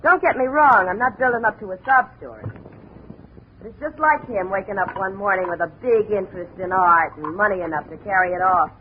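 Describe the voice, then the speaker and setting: A woman's voice: medium pitch, brisk, lightly acted. A woman playing a tough, sardonic American burlesque performer with a grudge, in a 1950s radio detective drama. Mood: bitter. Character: brassy, jaded female burlesque performer, bitter scorned girlfriend. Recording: dull, hissy old radio recording.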